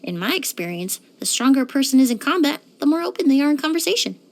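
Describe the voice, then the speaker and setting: Poised, higher-voiced young perfumer. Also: female voice, big pitch swings. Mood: fond, appreciative. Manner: measured, articulate, refined, composed. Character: young woman perfumer, poised, polite, quietly curious. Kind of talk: scripted video game character monologue.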